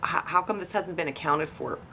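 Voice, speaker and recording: A woman with a fairly low voice hosts an informal investigative commentary for online viewers. She speaks conversationally, posing questions and speculating as she goes. A faint buzzing noise sits behind her voice. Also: brisk, bright, forceful tone. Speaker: online video host. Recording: noisy, buzzing tree-cutting outside. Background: buzzing from tree cutting outdoors.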